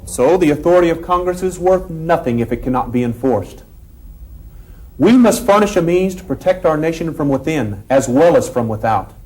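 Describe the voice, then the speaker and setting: An actor playing a forceful eighteenth-century American convention delegate, arguing formally and insistently in a period drama. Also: male voice, a little high, lively intonation, normal speed, low-quality recording. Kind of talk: period stage-like debate acting.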